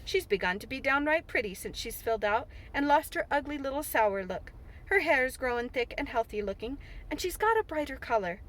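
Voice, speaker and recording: A woman's voice: sits high, animated, moderate pace, fairly bright tone, rough recording. A woman reading a storybook aloud, voicing a blunt, chatty older housekeeper. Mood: gossipy.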